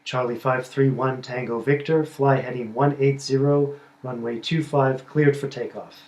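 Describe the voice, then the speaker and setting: A man presenting a scripted technical demonstration and reading out air traffic control instructions in a measured, instructional way. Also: mid-range voice, steady tone, a little mellow, poor audio. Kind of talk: scripted demo narration with radio phraseology.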